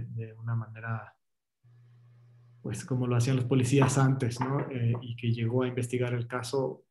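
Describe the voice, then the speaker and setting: A man with a Mexican accent, a native Spanish speaker, giving hesitant, halting remarks as a filmmaker in a panel discussion on a video call. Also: medium pitch, dark tone. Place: indoor room, on a video call. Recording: roomy, echoing video call.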